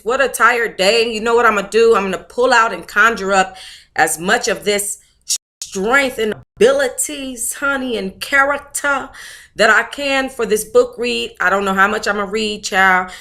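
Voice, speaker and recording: A woman's voice: on the high side, normal speed, sharp tone. An American woman hosting a book club livestream, talking in a casual, chatty, slangy way with familiar endearments.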